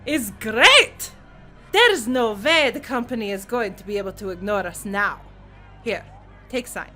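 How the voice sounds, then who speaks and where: A woman voicing a blunt, excitable alien hog union striker in an audio drama. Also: a little high, unhurried, faint music behind, heavily acted. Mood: excited.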